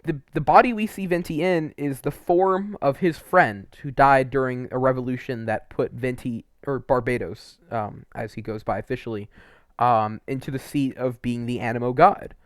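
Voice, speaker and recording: A man's voice: medium pitch, moderate pace, no room sound, studio quality. A man giving casual, unscripted, opinionated game commentary in a rambling, emphatic way. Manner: rambling, frequent "like" and mild swearing. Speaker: video creator reviewing game quests.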